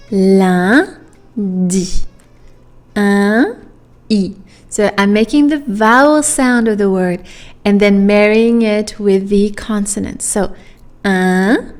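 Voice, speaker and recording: A native French-speaking woman tutoring pronunciation, drilling sounds one at a time and checking in with "okay?". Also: medium pitch. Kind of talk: pronunciation lesson.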